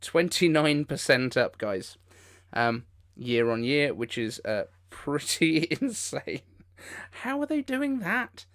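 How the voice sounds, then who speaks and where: A man's voice: on the high side, sing-song, takes it slowly, a bit bright. A man hosting a casual livestream, reacting in an informal, incredulous way as he comments. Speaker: livestream host.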